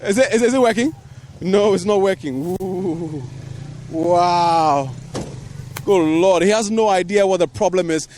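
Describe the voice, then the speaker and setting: A man with a Ghanaian accent reporting outdoors on a handheld mic, lively and inquisitive. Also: high voice, a little slow. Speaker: field reporter. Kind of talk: street reporting. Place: outdoors on a busy road. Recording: faint traffic noise outdoors.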